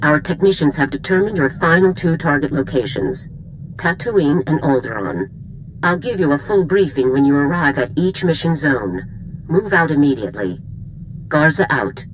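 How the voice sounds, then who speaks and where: A woman's voice: low voice, sing-song, moderate pace, noisy background. An actress playing a stern female military commander in a video game, with a formal, clipped briefing delivery. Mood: commanding. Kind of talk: scripted video game cutscene acting.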